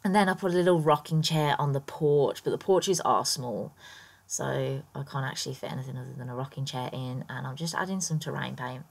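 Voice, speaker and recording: Woman, low voice, croaky just-woken-up tone, chatty, rambling with ums, casual game voiceover. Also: fairly quick. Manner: chatty and rambling, lots of ums and little laughs. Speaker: Sims builder YouTuber.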